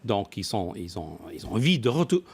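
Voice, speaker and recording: A man's voice: fairly low pitch, big pitch swings, moderate pace, creaky, breathy edge. Hesitant male business expert in an interview. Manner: hesitant delivery, often repeating and rephrasing words. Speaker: business expert guest, former company executive. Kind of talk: live broadcast interview.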